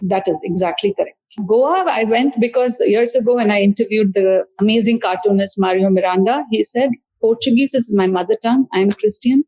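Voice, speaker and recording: A woman author, talking through her book in a thoughtful, halting way with many fillers and restarts. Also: mid-range voice, warm tone, low-quality recording. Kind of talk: conversational book discussion.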